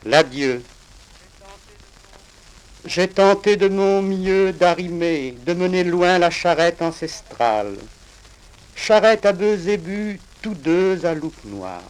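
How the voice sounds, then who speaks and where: A man from Reunion Island reciting his own poems in a solemn, measured, declamatory way with deliberate pauses, on a crackly vinyl record. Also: high voice, animated, smooth. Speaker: poet reading own work.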